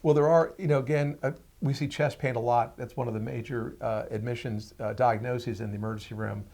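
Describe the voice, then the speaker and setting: Man, middle-aged or older, creaky gravelly voice, average pitch, measured plain-spoken medical explainer. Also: fairly even intonation, warm tone. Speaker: radiologist, interview guest.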